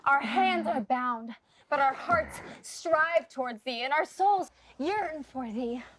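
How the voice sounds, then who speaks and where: A woman's voice: high voice, expressive, slow, fairly bright tone, no room sound, heavily acted. A woman acting a bossy, jolly troupe comedian with hammy, mock-grand delivery in a stage play. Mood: mock reverent. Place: stage performance.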